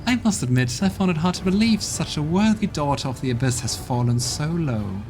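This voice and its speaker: A man's voice: fairly high pitch, expressive, brisk, a little mellow, slight background noise, pitched above the performer's own voice, close to an everyday voice. A man voicing a proud, scornful female demon ruler from a role-playing game, speaking in a smug and venomous way. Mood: mocking. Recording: game music playing behind.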